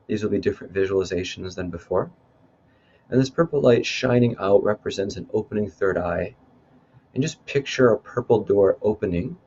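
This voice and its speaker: A man leading a guided meditation in a calm, unhurried, instructive way. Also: lowish voice, muffled recording. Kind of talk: guided meditation, spoken softly to listeners.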